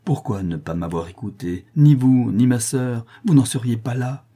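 Audiobook role: tormented decent son, compassionate yet indignant, dark husky voice, done by a male reader. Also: mid-range voice, normal speed, voice pushed up, close to an everyday voice. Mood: anguished despair. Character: anguished, honest son of a condemned criminal mother.

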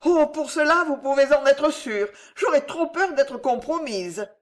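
A woman's voice: on the high side, big pitch swings, measured pace, pitched above the performer's own voice. A woman voicing a flustered, devout old gossip in an audiobook story, voluble and protesting. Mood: anxious, eager. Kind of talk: storybook character acting.

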